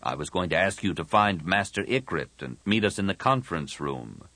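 A man voicing a gentle but urgent adult man in an audiobook. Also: deep voice, lively intonation, moderate pace, no room sound, heavily acted. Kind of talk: audiobook character dialogue.

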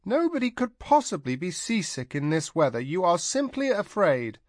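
A man voicing a brisk, scolding mother. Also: very high voice, wildly swooping pitch, no room sound, studio quality, pitched above the performer's own voice, heavily acted. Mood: firm scolding. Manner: scolding, firm. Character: stern brisk middle-aged woman, a mother.

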